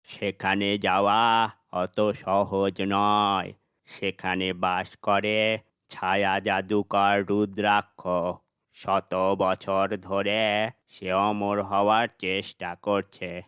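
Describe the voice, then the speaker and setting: Casting: male actor for elderly fairytale sage, grave and wise, smooth yet very slow, little rise or fall. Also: lowish voice, level tone, a bit bright, no room sound, studio quality. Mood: grave warning. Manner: solemn, measured.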